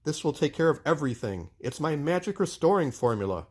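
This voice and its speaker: A man's voice: on the high side, brisk, pitched above the performer's own voice. Man acting out a flustered, eager toy inventor's helper. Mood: triumphant. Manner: hesitant muttering with throat-clearing, bursting into excitement.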